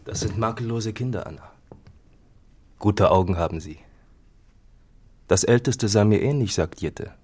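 An actor playing a solemn, brooding farmer in a literary radio drama, speaking gravely and deliberately. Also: male voice, on the low side, dark tone. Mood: quiet, firm.

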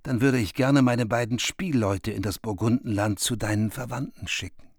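An actor playing a gracious, affectionate king in a radio play, speaking gently and courteously. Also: medium pitch, normal speed, creaky, no room sound, clean studio sound. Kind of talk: German radio play acting.

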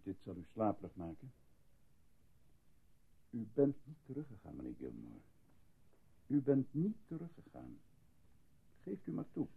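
An actor playing a controlling, evasive male doctor in a radio drama, speaking formally in a husky, patronising voice. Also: on the low side, huge pitch swings, a little hiss or hum, full character voice. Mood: firm, hushing. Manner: formal, patronising, measured reassurance. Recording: muffled lo-fi old radio recording.

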